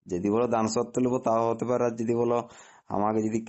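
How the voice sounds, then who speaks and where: Quick-talking man rambling on a phone call. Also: medium pitch, flat delivery, darkish tone. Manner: rambling, insistent. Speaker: prank caller posing as phone customer. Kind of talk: comic rambling phone complaint. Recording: dull lo-fi phone call recording.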